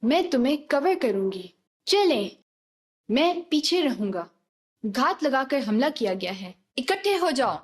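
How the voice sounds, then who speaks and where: High-pitched female soldier acted by a woman, game callouts. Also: sing-song, unhurried. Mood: commanding. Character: female soldier squadmate calling out battle commands. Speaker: game voice pack announcer. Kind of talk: scripted tactical shooter callouts in short commands.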